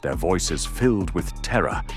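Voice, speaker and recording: A man narrates an audio drama in a deep voice with a very animated melody. The delivery is scripted and dramatic, with faint music behind. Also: a little slow.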